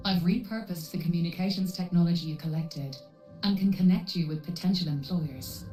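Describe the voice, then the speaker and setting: A woman playing a composed, coolly corporate AI handler in a video game, speaking politely in measured, clinical, formal phrases. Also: fairly low pitch, a little mellow, close to an everyday voice. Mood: calm, formal. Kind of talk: scripted video game cutscene dialogue. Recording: roomy processed echo, distant, music underneath.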